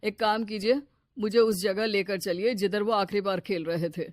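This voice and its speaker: A woman's voice: mid-range voice, fairly even intonation, moderate pace, no room sound, clean studio sound, natural voice. A woman voicing a concerned village headman in a children's cartoon, questioning and giving practical instructions. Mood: thoughtful.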